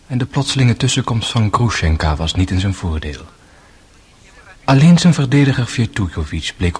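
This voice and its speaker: A brother narrating a courtroom radio play. His voice is rather low and very breathy, husky. The delivery is measured and literary. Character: sombre narrating brother of the accused man. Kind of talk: scripted radio drama narration.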